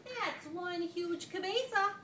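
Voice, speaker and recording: A woman voicing an excitable, boastful little boy kitten from a children's storybook, theatrical and sing-song. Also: very high-pitched, slow pace, pitched above the performer's own voice. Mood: amazed. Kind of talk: children's storybook read aloud. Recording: roomy, noisy classroom recording from far off.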